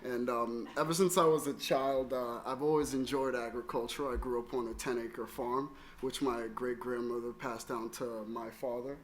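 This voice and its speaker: A man's voice: mid-range voice, fairly even intonation, normal speed, few pauses. Man from Trinidad, noisy live recording. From Trinidad and Tobago. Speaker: panelist, chocolate company founder. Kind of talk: personal talk to a live audience.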